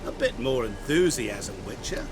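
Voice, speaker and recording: An actor playing a sly, genteel and mysterious tempter in a video game, with a teasing, condescending, sing-song delivery. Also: male voice, medium pitch, huge pitch swings, a little slow, music in the background.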